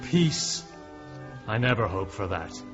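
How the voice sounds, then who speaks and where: An actor playing a haunted, guilt-ridden man seeking forgiveness in a period radio drama, speaking haltingly and broodingly. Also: fairly low pitch, sing-song, lo-fi sound, over music. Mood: bitter, despairing.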